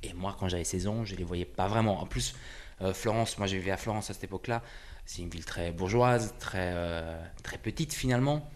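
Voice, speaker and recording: A man's voice: sits low, a little fast. A man in his forties from Italy, a musician talking casually in an interview, hesitant and full of fillers. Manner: hesitant, many fillers and restarts. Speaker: musician guest.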